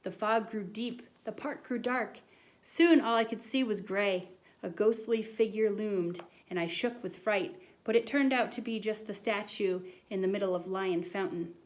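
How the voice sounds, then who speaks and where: A woman voicing an earnest, excitable schoolboy who tells a tall storybook tale with dramatic insistence. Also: mid-range voice, moderate pace, close to an everyday voice. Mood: excited storytelling.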